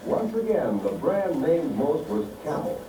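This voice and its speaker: Man playing a lively vintage radio pitchman. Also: unhurried. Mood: confident, selling. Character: slick, confident 1940s cigarette advertisement pitchman. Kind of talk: vintage radio commercial acting. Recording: noisy, distant, echoey stage recording.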